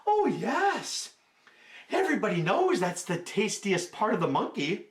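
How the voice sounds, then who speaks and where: A man voicing an excitable young male monkey with a shrieking, breathless manner. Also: high-pitched, a little slow, heavily acted. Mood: coaxing. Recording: roomy, from a distance.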